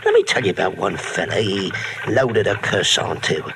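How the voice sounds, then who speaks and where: A man's voice: deep voice, animated, moderate pace, gravelly. A man playing a folksy old seafaring local guide who talks colloquially and spins yarns in an old radio drama. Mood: gossipy, relishing. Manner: folksy, colloquial, yarn-spinning. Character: folksy old salt, male local guide and cart driver. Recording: noisy old radio recording.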